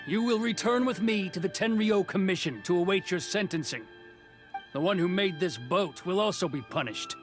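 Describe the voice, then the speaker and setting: A man's voice: high-pitched, normal speed, over music, natural voice. An actor playing a proud, cold male officer of a commission, curt and dismissive, in video game dialogue. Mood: stern.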